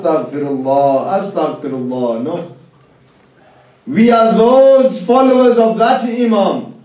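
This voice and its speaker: A male preacher delivers a sermon from a little way off in a heavily reverberant hall. His pace is slow and deliberate, and he leans emphatically on repeated phrases as he addresses the congregation. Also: high voice, a little mellow. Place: large echoing religious hall. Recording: very echoey hall, distant mic.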